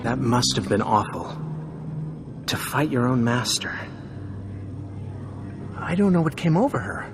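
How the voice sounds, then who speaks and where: A man's voice: mid-range voice, sing-song, measured pace, pauses often, music in the background. An actor playing an anxious, courteous male embassy official in a video game, earnest and pleading in manner. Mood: concerned.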